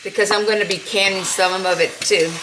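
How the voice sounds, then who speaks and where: A middle-aged woman talks through a recipe in a relaxed, homey way, pausing with little fillers as she works. Her pitch sits in an ordinary middle range. Clear frying and sizzling noise from the pan fills the home kitchen behind her. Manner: unhurried, homey, talks while cooking with many fillers. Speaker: cooking channel host. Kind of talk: casual cooking demonstration.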